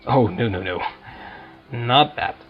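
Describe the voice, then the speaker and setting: A man doing casual let's-play commentary on a roleplaying video game, musing and haltingly thinking aloud. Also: medium pitch, lo-fi sound. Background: video game music.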